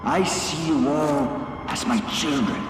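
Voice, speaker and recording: A slow, fairly high male voice preaches as a soothing, messianic villain in a video game. It rings with heavy echo and long reverb. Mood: soothing, preaching. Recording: echoing hall-like reverb, game music.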